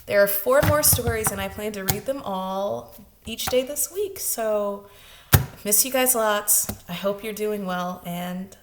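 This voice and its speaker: A woman's voice: mid-range voice, unhurried, noisy background. A woman preschool teacher hosting story time, speaking directly to young children as she greets them and reads.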